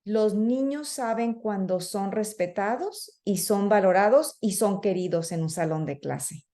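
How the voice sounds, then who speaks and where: Woman, teacher trainer, slow unhurried delivery, conversational with fillers. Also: mid-range voice, mellow. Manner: conversational, frequent fillers, acts out teachers and children. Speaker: early childhood educator and trainer. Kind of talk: professional development talk to teachers.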